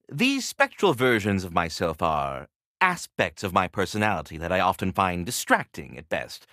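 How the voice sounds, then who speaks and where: Prim, wildly expressive squirrel caretaker. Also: male voice, mid-range voice, dry recording, clean studio sound. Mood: polite, composed. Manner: courtly, wordy, prim butler-like formality. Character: polite, fussy magical squirrel caretaker of the world tree. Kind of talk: theatrical video game character dialogue.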